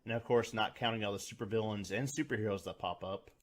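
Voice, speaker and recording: A man's voice: on the low side, fast. A man hosting a scripted video review, talking in a colloquial, mildly profane way. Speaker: reviewer, host.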